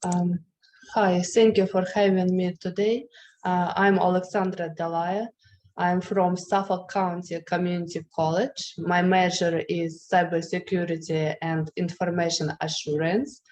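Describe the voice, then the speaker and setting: A woman from Ukraine with a Ukrainian accent speaks slowly as a student panelist, choosing her non-native phrasing carefully and hesitantly. Her voice is fairly low and even, heard over a video call with faint background noise. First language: not English. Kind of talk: semi-formal personal account.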